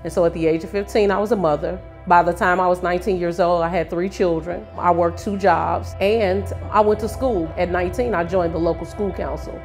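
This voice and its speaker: Middle-aged female candidate, American, low-leaning voice, personal testimony over a light music bed. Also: fairly even intonation, a little fast, hardly any pauses, a little mellow. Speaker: city council candidate. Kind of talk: personal testimony in a campaign video.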